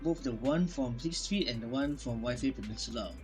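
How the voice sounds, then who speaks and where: A man's voice: medium pitch, a little fast, darkish tone. A man doing playthrough commentary as a gaming host, reacting and reading game text aloud. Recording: game music behind the voice.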